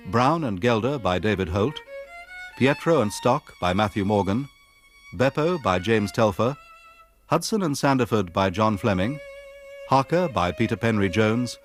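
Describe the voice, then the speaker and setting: A man reading scripted cast credits as a radio announcer. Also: on the low side. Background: violin music.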